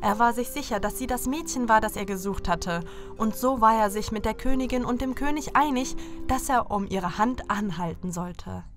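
A woman's voice: a little high, normal speed, few pauses, over music. A woman narrator reading a scripted fairy tale for children.

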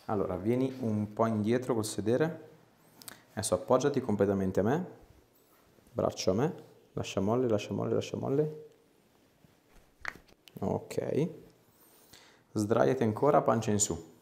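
A man in his thirties working as a chiropractor, giving calm, casual, reassuring instructions and short questions to a patient. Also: on the low side, a little slow, vocal fry, long pauses. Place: small clinic treatment room.

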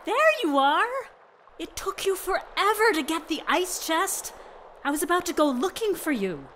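An actress playing a bubbly, naive, friendly young camper in a radio drama, eager and chatty. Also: female voice, very high voice, lively intonation, normal speed, a bit bright, a little hiss or hum.

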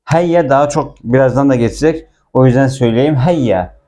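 A man's voice: mid-range voice, moderate pace, mellow. A man giving a casual language lesson, explaining film dialogue and repeating words with their translations. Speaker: Arabic teacher.

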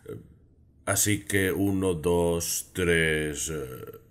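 An actor playing a calm, measured middle-aged psychiatrist in a Spanish film dub, with a Castilian accent and soft-spoken delivery. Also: male voice, deep voice. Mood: patient, testing. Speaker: dubbing actor. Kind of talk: dubbed film drama acting.

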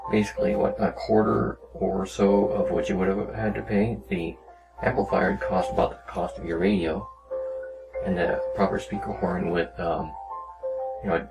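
A man giving a casual, rambling, unhurried demonstration of a hobby project, talking as a maker. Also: deep voice, creaky, low-quality recording. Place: small cluttered indoor workshop.

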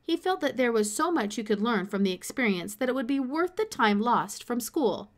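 A woman's voice: on the high side, sing-song, normal speed. Woman as an easygoing, practical country dad. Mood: casual.

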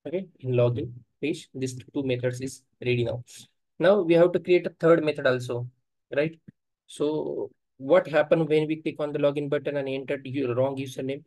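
A man's voice: medium pitch, darkish tone, dry recording, rough recording. Man thinking aloud through a coding tutorial. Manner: halting, thinks aloud while typing, frequent uh fillers. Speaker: software testing instructor. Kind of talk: screen-recorded coding tutorial.

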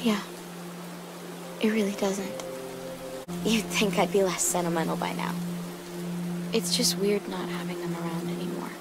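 A woman's voice: lowish voice, steady tone, measured pace, pauses often. A woman playing a guarded young survivor in a game drama, understated and awkwardly sincere. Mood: awkward, wistful. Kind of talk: naturalistic video game drama acting. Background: music.

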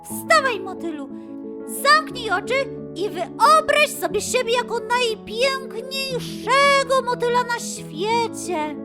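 A woman voicing an excitable, bossy but kind-hearted girl monkey in a children's audio story, often repeating her words. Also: very high voice, slow, over loud music, voice pushed up, full character voice. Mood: indignant, encouraging.

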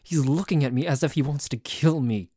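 A man playing a dorky, jealous tsundere boyfriend in an ASMR roleplay, bickering haltingly with a cocky streak. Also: a little high, warm tone, breathy edge, no room sound. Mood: irritated, combative. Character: dorky, jealous, grumbling tsundere boyfriend who dislikes a cat.

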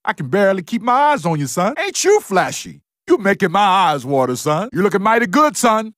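Fatherly boxing trainer, video game barks, high-pitched, very animated, folksy, encouraging, dry close sound. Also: brisk, no room sound. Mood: teasing, pleased. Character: upbeat, fatherly boxing trainer cheering on his young fighter. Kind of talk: video game voice acting, short victory barks.

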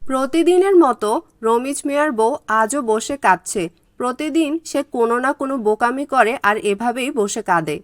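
A woman narrates a cartoon folktale from a script in storybook style. Her voice is on the high side. The recording is very dry, without audible room echo. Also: lively intonation, moderate pace.

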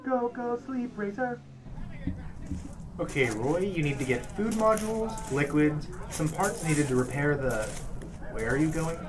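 Animated scolding voice of an actor, nagging sidekick, incredulous, comic radio play, faint noise behind. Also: mid-range voice, measured pace. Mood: exasperated. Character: exasperated, nagging sidekick on a spaceship.

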